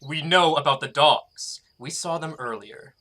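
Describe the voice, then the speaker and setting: Gruff warrior cat played by a man, suspicious and curt, sharp-edged growl, higher-pitched male voice. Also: measured pace, exaggerated voice. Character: gruff suspicious warrior tomcat, a large fighter. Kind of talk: fandub audiobook voice acting.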